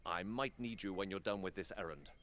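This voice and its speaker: A man's voice: low voice, a little fast, fairly bright tone, a little hiss or hum. A man playing an earnest, harried council statesman in a fantasy game, speaking with weary urgency. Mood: puzzled, urgent. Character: earnest, harried male council statesman under political pressure.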